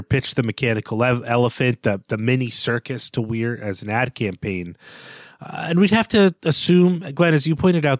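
A man's voice: mid-range voice, no room sound, studio quality. A man co-hosting a book podcast, talking through a novel in a thoughtful, hedging way with frequent restarts. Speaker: podcast co-host. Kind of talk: casual book discussion.

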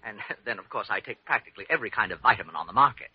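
An actor playing a fussy, indignant older hypochondriac, complaining plaintively and melodramatically in an old-time radio drama. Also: male voice, fairly low pitch, animated, very fast, husky. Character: fussy, indignant older male hypochondriac patient, self-pitying. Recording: dull, lo-fi vintage radio recording.

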